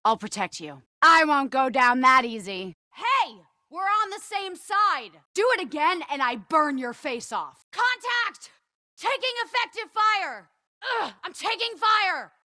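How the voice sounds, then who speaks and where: Bright, high-voiced cocky superhero soldier. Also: female voice, very animated, a little slow, dry recording, studio quality, full character voice. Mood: brash, cocky, then fierce. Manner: punchy, commanding, swearing. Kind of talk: video game combat barks.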